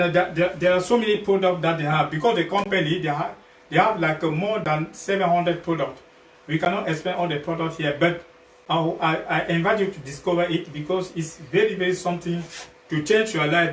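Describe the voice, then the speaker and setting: A man from Cameroon with a Cameroonian accent presents products informally, in a halting way that repeats and rephrases as he goes. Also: on the high side. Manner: halting, repetitive, rephrasing phrases several times. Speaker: health product sales partner, guest presenter. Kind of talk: informal livestream product pitch. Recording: echoey, noisy, distant livestream mic.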